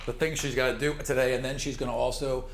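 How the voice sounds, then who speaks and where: A man's voice: mid-range voice, level tone, fast talker. A man working as game master, improvising descriptions for a tabletop game in a casual, conversational way. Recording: roomy echo, clear background noise.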